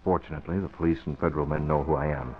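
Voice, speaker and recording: A man playing a decisive newspaperman and secret crime fighter in an old-time radio drama, firm and deliberate. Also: rumbling low, steady tone, a little hiss or hum. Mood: firm, determined. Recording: dull vintage radio recording.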